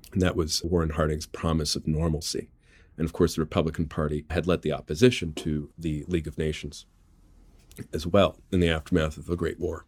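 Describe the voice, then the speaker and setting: An American man explaining as an interview guest, measured and methodical, setting out his points in order. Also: very deep voice, dry recording. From United States. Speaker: interview guest, journalist and author.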